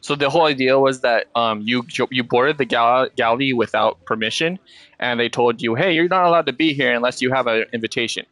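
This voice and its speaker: A man's voice: mid-range voice, a little fast, fairly bright tone, no echo. A man chatting casually over gameplay, explaining things to a fellow player step by step. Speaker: gaming stream companion explaining the game.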